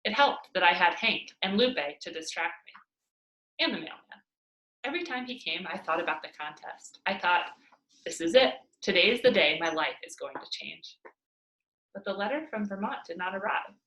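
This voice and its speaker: Female read-aloud narrator for children's fiction; very lively intonation, roomy home sound. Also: on the low side, moderate pace, a little hiss or hum. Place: indoors at home by a fireplace.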